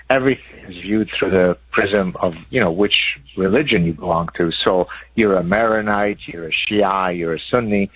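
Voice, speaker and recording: A man's voice: lowish voice, slightly rough, dry recording, lo-fi sound. A man in his sixties from Lebanon, a professor and author explaining his ideas as a radio guest. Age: 60s. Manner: articulate, lecturing explanations. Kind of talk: radio interview.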